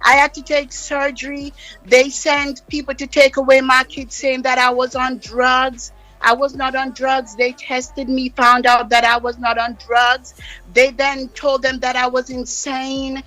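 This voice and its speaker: A woman's voice: sits high, monotone, measured pace, bright, forceful tone, faint music behind, rough recording. A Jamaican woman guest on a live radio interview, speaking with passionate, insistent emphasis. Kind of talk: heated interview talk. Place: radio studio.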